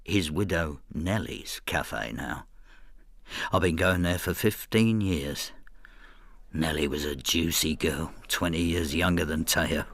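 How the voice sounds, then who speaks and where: Husky, low-voiced bitter old man. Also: takes it slowly, a bit bright, dry recording, studio quality, full character voice. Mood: sardonic, brooding. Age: older. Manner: brooding, sardonic. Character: bitter, hard-drinking old husband, a pensioner. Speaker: lead actor and narrator. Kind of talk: radio drama acting, confessional narration.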